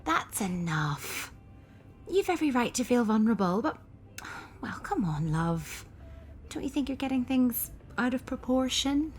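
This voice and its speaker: Reassuring female partner, highish and expressive, radio drama, faint music. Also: measured pace. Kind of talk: radio soap acting.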